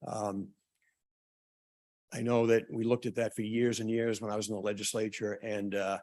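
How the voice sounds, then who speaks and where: A man speaking at a public forum who is losing his voice, often clearing his throat and stopping for ums and uhs. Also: lowish voice, little pitch movement, a little mellow. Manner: halting, many ums and uhs, restarts. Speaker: town official presenting at a public forum. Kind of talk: prepared remarks at a public meeting.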